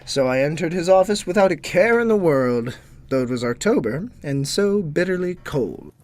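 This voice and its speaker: A man's voice: a little high, expressive, normal speed, a little mellow, lightly acted. A man playing a naive, earnest young American in a comic gothic audio drama, with melodramatic, theatrical delivery. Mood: ominous, melodramatic. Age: young adult. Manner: melodramatic, earnest, hesitant with superiors. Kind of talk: theatrical first-person audio drama narration and dialogue.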